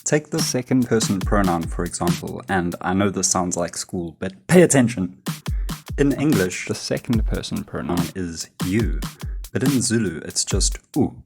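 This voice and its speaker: A man from South Africa with a South African accent, giving a comedic scripted narration with dry, deadpan delivery. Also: deep voice, normal speed, gravelly. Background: music.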